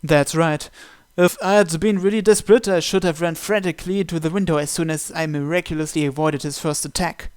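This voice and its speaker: A man reading a visual novel aloud in an expressive, dramatic first-person narration with occasional stumbles. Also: a little high, brisk, hardly any pauses, clear, resonant tone, no echo, clean studio sound.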